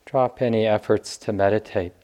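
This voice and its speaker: A man teaching meditation, giving gentle guided instruction in short phrases with long pauses. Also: lowish voice, fairly even intonation.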